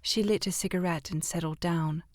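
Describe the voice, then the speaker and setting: Casting: female audiobook narrator, lowish pitch, measured and expressive delivery, dry close sound. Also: no echo.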